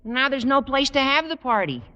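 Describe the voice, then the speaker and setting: An actor playing an eager, gawky American teenage schoolboy with a cracking adolescent delivery in an old radio comedy. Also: male voice, extremely high pitch, big pitch swings, a little fast, highs cut off, heavy background noise. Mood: disappointed, persuasive. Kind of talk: 1940s-50s radio comedy acting. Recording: old radio broadcast, long reverb.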